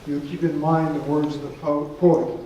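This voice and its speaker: Older male lecturer, mellow voice, halting delivery, distant mic in a big echoey hall. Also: fairly high pitch, flat delivery, a little hiss or hum. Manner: halting, many pauses and fillers. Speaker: guest lecturer. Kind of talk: lecture with audience Q&A.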